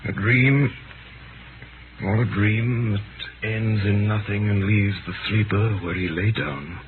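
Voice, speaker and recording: Ruined romantic gentleman, very low voice, solemn and halting, vintage radio drama with clear background noise. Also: fairly even intonation. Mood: anguished confession. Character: Sydney Carton, dissolute despairing man, tenderly self-abasing. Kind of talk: 1930s radio drama acting. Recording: noisy tinny 1930s radio broadcast.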